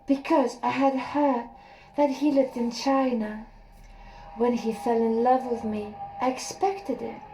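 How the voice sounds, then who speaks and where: A woman reading a first-person story aloud as an audiobook, soft and unhurried, sometimes sinking to a near whisper. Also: on the high side, rough recording. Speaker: audiobook reader.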